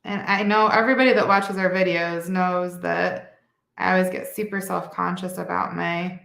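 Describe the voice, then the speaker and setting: A woman's voice: lowish voice, steady tone, normal speed, gravelly, fairly bright tone, echoey room. A woman from Michigan teaching a live beading tutorial, a bit congested and nasal, chatty, correcting herself and laughing lightly. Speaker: beading instructor.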